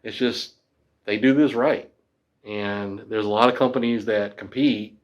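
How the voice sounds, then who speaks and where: Rambling guitar guy in his 50s, kinda low voice, muffled lo-fi audio. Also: fairly even intonation, unhurried. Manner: chatty, rambling, self-deprecating. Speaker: guitar teacher. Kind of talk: casual talk to camera.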